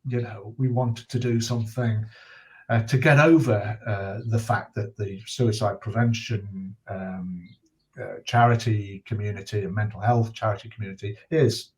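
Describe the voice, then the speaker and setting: Low-voiced older man, measured and reflective. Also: fairly even intonation, darkish tone, low-quality recording. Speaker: guest, retired journalist and charity campaigner. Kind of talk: earnest interview conversation.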